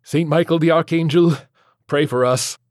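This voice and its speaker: Man playing a commanding priest, solemn, declamatory, high raised voice, storybook exorcist, dry sound. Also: expressive, a little slow, no echo. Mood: fervent praying. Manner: solemn, declamatory prayer and rebuke. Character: steadfast male priest exorcist, calm but commanding.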